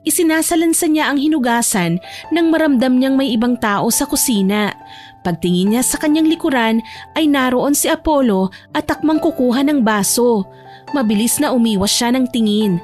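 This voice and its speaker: A woman's voice: high-pitched, animated, moderate pace, darkish tone. A woman narrator reading a scripted romance story in storytelling style. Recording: music bed behind the voice.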